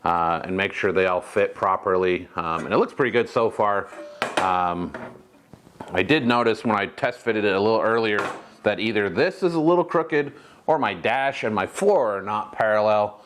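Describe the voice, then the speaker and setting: A man's voice: on the low side, moderate pace, noisy background. An American man hosting a fabrication vlog, talking casually in a hesitant, rambling, laid-back way. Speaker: host of a metal fabrication how-to channel. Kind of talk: casual workshop vlog talk.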